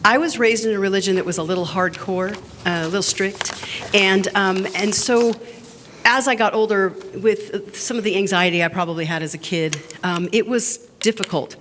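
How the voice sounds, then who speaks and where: A woman's voice: medium pitch, moderate pace, a bit bright. A woman stands before a congregation and shares her spiritual journey in a candid, conversational way, with frequent hesitant "um"s. A long reverb fills the sanctuary, and faint room noise sits underneath. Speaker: board president giving a testimony. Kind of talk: personal spiritual testimony. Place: church sanctuary.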